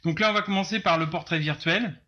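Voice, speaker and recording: A man hosts a casual interview over a video call. His voice is bright and sits a little high, and the sound is dull and lo-fi. He speaks haltingly and restarts his sentences often. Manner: halting, many fillers and restarts. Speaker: interviewer host.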